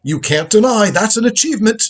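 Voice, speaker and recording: Man acting a husky, crotchety old inventor grandfather. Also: high voice, lively intonation, brisk. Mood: proud, lecturing. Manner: imperious, theatrical, lecturing. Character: crotchety, proud old grandfather inventor, time traveller from the future. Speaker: lead actor in a live script reading. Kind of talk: live reading of a radio drama script.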